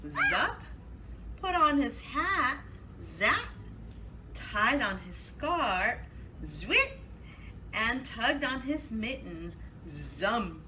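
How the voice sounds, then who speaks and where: A woman's voice: a little high, sharp tone, slight background noise, poor audio. A woman hosting a children's library storytime, speaking in an exaggerated sing-song way for young children. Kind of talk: children's storytime, read-aloud and singalong.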